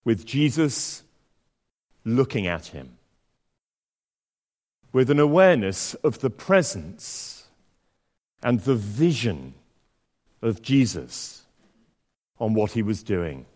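Slightly croaky man preaching in a church. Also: on the low side, very animated, takes it slowly, long pauses, a little mellow. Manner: conversational, self-deprecating, with fillers and restarts. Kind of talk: sermon with scripture reading. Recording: long church reverb.

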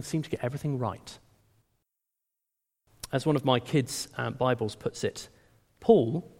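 A man preaching a sermon in a conversational, animated way, often restarting his words. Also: high-pitched. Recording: long hall reverb.

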